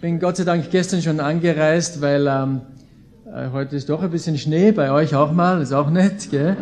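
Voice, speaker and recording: An Austrian man in his late thirties speaks as a visiting preacher to a live audience. His voice sits high for a man yet has a soft, mellow tone. He keeps it informal and folksy, leaning hard on his key phrases. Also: moderate pace, a little hiss or hum. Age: late 30s. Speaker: visiting preacher, Bible school leader. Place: indoor gathering with an audience.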